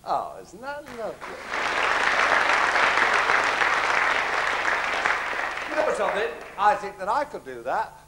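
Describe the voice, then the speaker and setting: A man's voice: sits high, huge pitch swings, a little fast, over a noisy crowd, heavily acted. An actor playing a kindly, jolly father in a stage pantomime, exclaiming playfully and projecting to the audience. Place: large theatre stage. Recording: noisy theatre stage video with audience.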